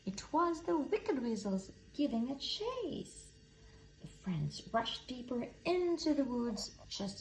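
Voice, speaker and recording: Female storybook reader, slow and animated with a creaky edge, faint noise in the background. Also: fairly high pitch, low-quality recording. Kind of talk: expressive read-aloud children's story narration.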